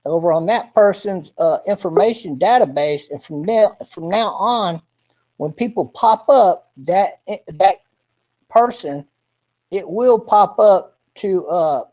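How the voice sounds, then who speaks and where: A man's voice: high voice, lively intonation, slow pace, a little mellow. Man rambling on a lo-fi livestream. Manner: rambling, explains step by step. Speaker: livestream host. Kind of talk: casual livestream chat. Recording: dull lo-fi stream audio.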